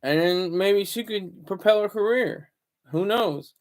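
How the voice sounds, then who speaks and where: A man hosting a casual livestream from a room at home, deliberately keeping his voice low and hushed, often halting and repeating words as he talks to viewers. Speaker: livestream host.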